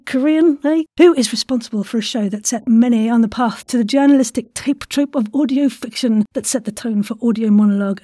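A woman's voice: high voice, a little mellow, dry recording, studio quality. A woman hosting a podcast interview in an effusive, theatrical and whimsical way, full of playful wordplay. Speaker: podcast host.

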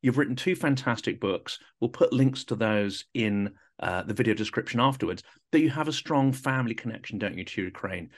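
A man hosting a podcast, who reads a prepared introduction in a measured, formal way and then puts questions to his guest. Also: fairly low pitch, lively intonation. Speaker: podcast host.

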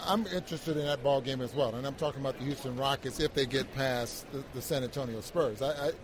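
A man co-hosting a sports show, giving casual basketball analysis in a halting way, repeating words as he builds each point. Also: medium pitch. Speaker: basketball broadcast co-host, analyst. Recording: crowd noise behind the voice.

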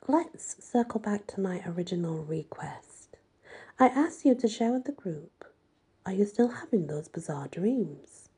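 A woman's voice: mid-range voice, sing-song, measured pace, warm tone, husky, full character voice. A woman voicing a stern, impatient, demanding woman who leads a teen therapy group in an audiobook. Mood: probing. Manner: probing, demanding.

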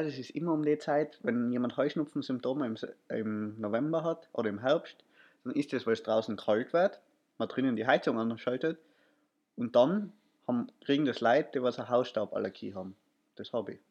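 Ein junger Mann mit österreichischem Akzent, der als Co-Host eines Podcasts locker plaudert, oft stockt und neu ansetzt und gerade etwas verschnupft ist. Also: male voice, medium pitch, a little fast, a little mellow, slightly rough, muffled recording. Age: young adult. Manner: rambling, stumbles and restarts often. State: stuffy nose from allergy. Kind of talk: casual chat.

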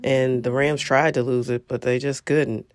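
A woman's voice: a bass voice, little pitch movement, normal speed, faint music behind. Congested woman chatting about football. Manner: chatty, rambling, emphatic. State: congested, coughing. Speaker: podcast host. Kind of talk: casual solo podcast chat about football.

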